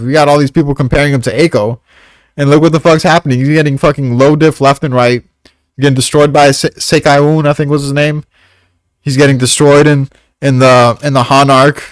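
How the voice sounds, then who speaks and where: A man's voice: medium pitch, no echo. Male co-host, mid-range voice, casual and slangy, rambles with plenty of swearing, dry recording. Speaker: co-host of a manga discussion. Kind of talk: casual chat.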